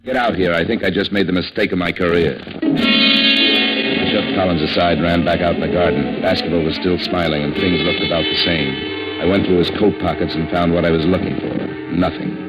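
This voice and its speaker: An actor playing a tough, wry, wisecracking insurance investigator and narrator in a 1950s radio detective drama. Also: male voice, low voice, wildly swooping pitch, a little fast. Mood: urgent. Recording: muffled old radio recording, loud music behind.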